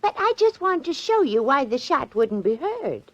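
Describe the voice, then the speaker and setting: A woman's voice: sits high, sing-song, normal speed. An actress playing a genteel, batty little old lady killer in a 1940s radio drama, polite and eerily matter-of-fact. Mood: coyly polite. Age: older. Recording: dull 1940s radio recording.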